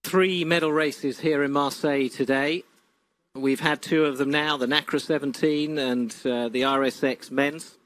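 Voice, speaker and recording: A man presenting a sailing broadcast, commenting on the race and interviewing athletes in a brisk, chatty way. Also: a little high, steady tone, a bit bright, no echo.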